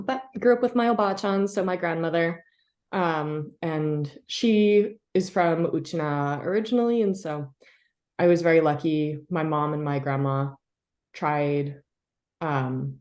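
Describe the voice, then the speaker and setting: A woman from the United States, a historian, answering interview questions in a thoughtful, halting way with many fillers and soft laughs. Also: low-pitched.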